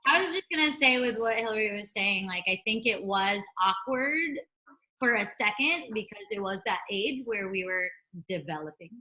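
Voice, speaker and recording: A woman's voice: medium pitch, lively intonation, normal speed, pushed and bright, poor audio. A woman casually reminiscing in a loose, conversational way over a video call.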